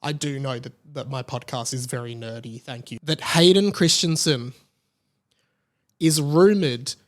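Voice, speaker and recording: A man's voice: medium pitch, unhurried. A man hosting a podcast, talking casually and emphatically in an informal, gushing fan style. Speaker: podcast host. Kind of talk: casual opinionated podcast monologue about pop culture.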